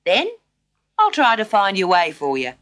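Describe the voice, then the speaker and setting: A woman voicing a gentle, motherly grey kangaroo in a children's storybook, chatty, kindly and emphatic. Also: mid-range voice, wildly swooping pitch, moderate pace. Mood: kindly reassuring.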